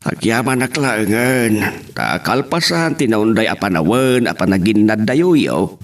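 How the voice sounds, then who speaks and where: A man's voice: on the low side, animated, unhurried. A man acting a caring, plaintive elderly father in an Ilocano family audio drama. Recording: slightly far from the mic.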